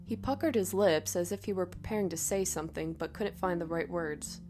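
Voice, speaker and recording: A woman narrating a scripted first-person horror story in a dramatic, expressive way. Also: fairly low pitch, a little fast, soft background music.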